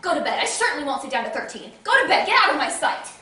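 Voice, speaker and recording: An imperious, melodramatic society mother played by a woman, with a very high voice that swings widely as she commands and frets. She is snobbish, theatrical and quick to indignation, in stage comedy style. The sound is distant and very echoey. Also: very animated, fairly bright tone. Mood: furious. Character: imperious, frantic society hostess mother, snobbish and easily enraged. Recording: echoey room, distant noisy mic.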